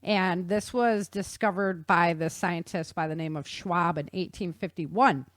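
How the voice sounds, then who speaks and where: A woman's voice: on the low side, a little slow, dry recording. A woman hosting a talk show, explaining science in a chatty, informal way and checking in with her listener.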